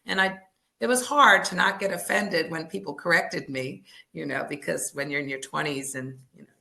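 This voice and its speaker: A woman's voice: deep voice, moderate pace, vocal fry, bright, forceful tone. A middle-aged woman founder answering interview questions in an earnest, explanatory way with frequent restarts. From United States. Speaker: language services founder.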